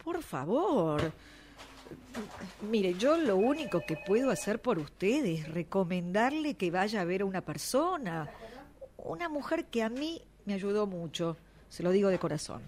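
Patient police officer, played by a woman, lively intonation. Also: mid-range voice. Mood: kindly but firm. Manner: measured, admonishing, concerned. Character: patient, reasonable police officer giving firm advice. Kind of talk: amateur radio play acting.

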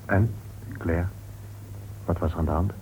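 A man's voice: low voice, steady tone, measured pace, darkish tone. A man playing a suspicious, probing club member in a Dutch radio play. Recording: old radio recording, narrow tinny band, hiss.

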